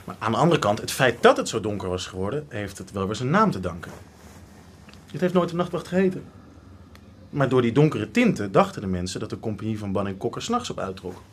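A man's voice: on the low side, very animated, fast talker, a little hiss or hum. A man playing a young art history student, obsessive and teasing, in a radio drama. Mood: obsessive, animated. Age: young adult.

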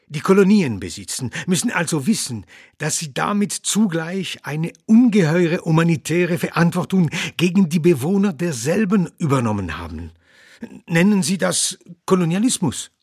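An actor playing an elderly doctor and philosopher in a radio play, lecturing earnestly and growing indignant when challenged. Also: male voice, on the high side, a little mellow, breathy edge, no echo, studio quality, full character voice. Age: older. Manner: earnest, lecturing, quotes his own writings. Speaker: interviewee.

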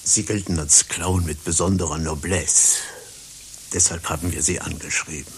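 An actor playing a formal, stiffly polite company director in a satirical radio play. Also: male voice, sits low, steady tone, normal speed. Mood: formal, courting. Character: formal, businesslike male company director courting a performer. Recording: hissy radio play recording.

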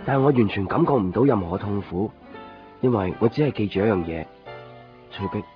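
A man's voice: on the low side, animated, fairly quick, natural voice. An actor playing a stubborn, lovelorn young man in a radio drama, speaking with a Hong Kong Cantonese accent. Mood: melancholy narration. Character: lovelorn, stubborn young man waiting, guarding his coins. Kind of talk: radio drama acting with first-person narration. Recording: muffled 1990s radio drama recording, music.

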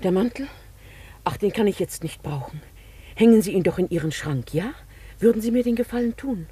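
A woman's voice: mid-range voice, animated, brisk, dark tone, lightly acted. An actress playing a polite, evasive, formerly wealthy lady traveller in a German radio play. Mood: agitated, relieved.

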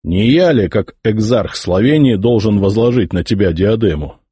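A man voicing an old prince-bishop who speaks calmly and carefully. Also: on the low side, breathy edge, no echo, clean studio sound. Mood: measured. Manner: calm, measured. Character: old male prince-bishop, calm and guarded. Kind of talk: audiobook character voicing.

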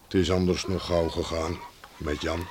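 An actor playing a nosy, teasing old village neighbour who drawls, chuckles and repeats himself in a Dutch radio play. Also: male voice, low-pitched, level tone, normal speed, darkish tone, natural voice. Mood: musing. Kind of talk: Dutch radio drama acting. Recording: old radio recording, faint noise.

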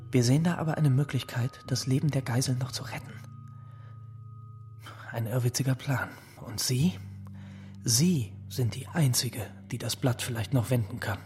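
A man's voice: medium pitch, darkish tone, natural voice. An actor playing an earnest, urgent resistance man in a wartime radio play, intense and conspiratorial. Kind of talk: German radio play acting. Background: music.

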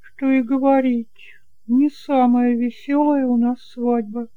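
A man's voice: very high voice, flat delivery, slow, clear, resonant tone, dry recording, narrow, tinny audio, pitched above the performer's own voice. A man voicing a blunt young bride in an audiobook drama, with a pert, direct manner. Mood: pert, questioning. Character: young bride, blunt, easily amused wife.

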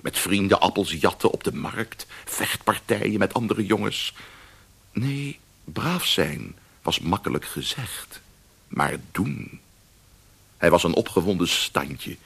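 An actor playing a wry storyteller, narrating a radio play expressively with savoured pauses and asides. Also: male voice, low-pitched, sing-song, gravelly, fairly bright tone, breathy edge, exaggerated voice. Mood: wry, fond. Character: wry male storyteller of old Amsterdam.

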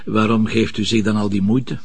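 An actor playing a gruff, stubborn police commissioner in a TV drama, with a Flemish Belgian accent and a gravelly voice. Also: male voice, on the low side, flat delivery, normal speed, a little mellow. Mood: probing, calm. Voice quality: gravelly, husky. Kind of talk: naturalistic TV drama acting.

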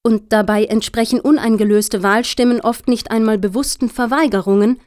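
An actress playing an earnest, officious lobbyist in a satirical radio play, talking in formal, deliberate, speech-like sentences. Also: female voice, fairly high pitch, steady tone, a little mellow, natural voice. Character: earnest, officious female lobbyist pitching for funding.

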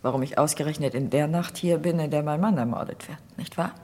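A proud doctor's wife in an old radio crime play. Her voice is low and husky, and she speaks directly, with imperious self-control. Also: fast, darkish tone. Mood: composed, defensive. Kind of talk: vintage German radio crime drama acting.

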